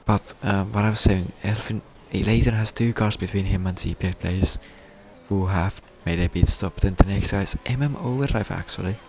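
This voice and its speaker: Finnish guy calling a race, very low voice, stutters and restarts a lot, english not his first language. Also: creaky, darkish tone, slight background noise. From Finland. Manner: halting, stuttering delivery with frequent restarts. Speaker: race commentator. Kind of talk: live sim racing commentary.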